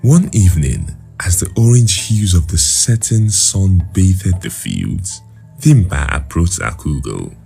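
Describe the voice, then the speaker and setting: Very deep, slow dramatic male storyteller. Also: sing-song, creaky, warm tone, faint music behind. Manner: measured, dramatic. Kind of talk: scripted dramatic folktale narration.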